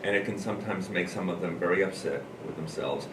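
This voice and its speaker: A man's voice: sits low, a little fast. A man who teaches drama, talking informally and explaining his approach as he thinks aloud. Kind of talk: informal talk to an audience about teaching. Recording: roomy, noisy, distant microphone.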